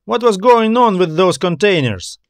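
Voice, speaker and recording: A man's voice: high voice, expressive, moderate pace, dry recording, voice pushed up. A man voicing a friendly, inquisitive male space station worker in audiobook dialogue, casual and questioning. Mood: puzzled, friendly.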